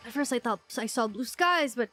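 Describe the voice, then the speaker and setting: A congested woman streaming a video game, reacting excitably with frequent gasps and laughs. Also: on the high side, brisk, faint music behind. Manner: excitable, gasping and laughing often. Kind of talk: casual gameplay commentary.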